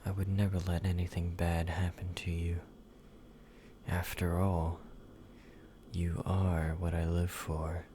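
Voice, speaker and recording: Man doing a deep, slow obsessive vampire. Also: flat delivery, a little hiss or hum. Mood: intimate. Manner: soft, intimate, slow and controlling, slipping into whispers. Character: possessive male yandere vampire, obsessive and menacing. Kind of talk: ASMR roleplay.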